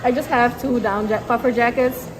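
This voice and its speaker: A woman's voice: fairly high pitch, steady tone, normal speed, poor audio. Chatty young woman from Nepal. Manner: chatty, laughing easily. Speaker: international student. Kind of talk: interview soundbite.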